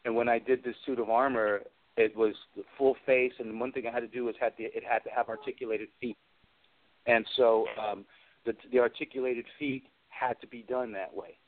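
A man of average pitch speaks over a narrow, phone-like connection on a radio interview. He is an enthusiastic guest who rambles through anecdotes in a conversational way. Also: steady tone, fairly quick. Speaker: guest, special effects artist and creature performer. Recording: tinny phone-like radio call.

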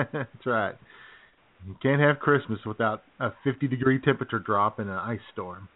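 A man's voice: mid-range voice, unhurried. An American man chatting casually as a talk show co-host, with an easygoing manner. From United States. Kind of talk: casual banter. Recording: thin phone-like audio.

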